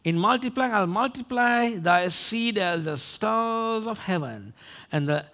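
Preacher, male, 60s, high voice, emphatic and repetitive sermon delivery. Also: fairly bright tone. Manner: emphatic, repeats phrases for effect. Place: church stage, indoors. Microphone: headset.

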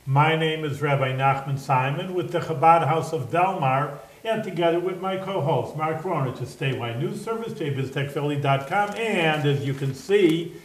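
A man's voice: fairly high pitch, normal speed, hardly any pauses, rough recording. An older man, a rabbi from Chicago, hosting a TV studio interview in a friendly, chatty, rambling way. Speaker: rabbi, host.

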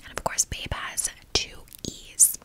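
A woman whispering a guided visualization for ASMR in a breathy, unvoiced whisper, gentle and soothing with a casual rambling manner. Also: slow pace. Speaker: ASMR video host.

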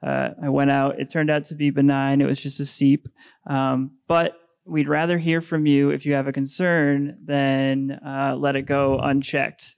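A man's voice: medium pitch, monotone, unhurried, creaky, smooth, dry recording, studio quality. A man in his thirties, a waterkeeper, giving a casual, encouraging talk at a public forum. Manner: casual, encouraging, many fillers.

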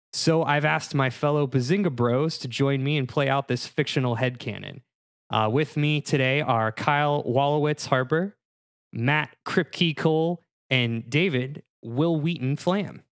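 A man's voice: mid-range voice, dry recording, studio quality. A man hosting a podcast, introducing the show in a casual, conversational style. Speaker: podcast host.

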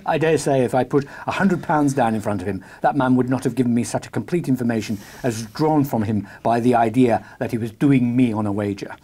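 A man playing a shrewd, confident gentleman detective in a dramatised story, husky, courteous and briskly commanding. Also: medium pitch, close to an everyday voice. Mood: satisfied, musing. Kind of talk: dramatised audiobook acting.

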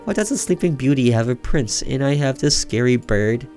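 Dude doing a little sister voice, high, whiny, scared and pleading, horror game. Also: male voice, lively intonation, moderate pace, darkish tone, faint music behind, lightly acted.

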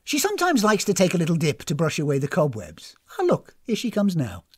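A woman voicing a suspicious, sharp-tongued woman traveller in a storybook, snappish and sardonic. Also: lowish voice, huge pitch swings, a little fast, slightly rough, dry recording, studio quality, full character voice. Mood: brisk. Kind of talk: storybook character voice.

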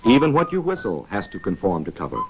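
A man's voice: lowish voice, wildly swooping pitch, quick pace, background noise. An American man narrating a 1940s instructional film, chiding its characters directly in a wry, rhetorical way. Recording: dull 1940s film soundtrack, music.

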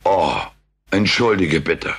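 A man playing a cheeky, wisecracking alien houseguest in a German children's audio drama, with a husky voice and a sardonic, flippant manner. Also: sits low, slow, a bit bright, full character voice. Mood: teasing. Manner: sardonic, flippant, mock-offended.

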